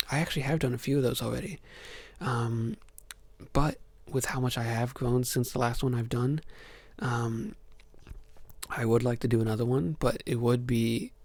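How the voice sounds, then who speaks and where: A young man from Ohio hosting a soft-spoken ASMR Q&A, answering questions hesitantly with many ums. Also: medium pitch, no echo. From Ohio, USA. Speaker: ASMR channel host.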